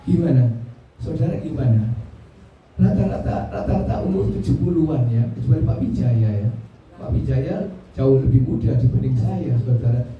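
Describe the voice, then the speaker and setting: A man's voice: medium pitch, expressive, moderate pace, highs cut off. A man in his seventies with a Javanese Indonesian accent preaching an interactive sermon, insistent and repetitive, putting questions to his listeners. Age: 70s. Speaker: pastor preaching. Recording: very echoey hall, far from mic.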